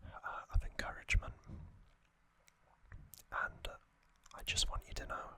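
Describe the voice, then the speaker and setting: Slow whispering male ASMR host. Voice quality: breathy unvoiced whisper. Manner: slow, gentle, pausing delivery.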